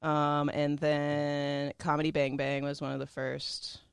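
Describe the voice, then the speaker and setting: A woman's voice: low-pitched, completely flat delivery, vocal fry, smooth, no room sound. A woman who works as a voice actor, chatting as a guest in a podcast studio in a playful, teasing way. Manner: playful, teasing, quick to laugh. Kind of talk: casual chat.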